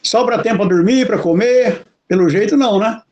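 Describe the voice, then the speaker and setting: A man with a notably high voice hosts an interview. He asks polite, chatty questions and rambles into his own memories. His tone stays friendly and conversational. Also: normal speed, a little mellow, dull audio, slight background noise. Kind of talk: interview chat.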